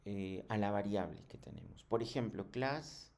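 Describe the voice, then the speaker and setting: A man giving a programming tutorial in a halting, didactic way, often checking in with short questions. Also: on the low side, creaky, darkish tone. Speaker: programming instructor. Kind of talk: screen tutorial lesson.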